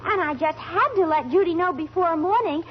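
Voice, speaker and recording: A woman's voice: extremely high pitch, fairly quick. An American actress playing an eager, gushing, oblivious teenage girl in a 1940s radio sitcom. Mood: eager, apologetic. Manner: gushing, earnest. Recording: muffled old radio recording, faint noise.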